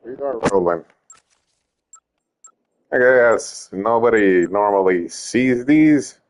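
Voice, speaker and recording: A man's voice: fairly low pitch, expressive, slow pace, low-quality recording. A young man from Puerto Rico, Spanish his first language, casually rambling through an update on his ROM hacks, joking and cutting himself off. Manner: rambling, self-interrupting, joking. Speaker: ROM hack creator giving updates.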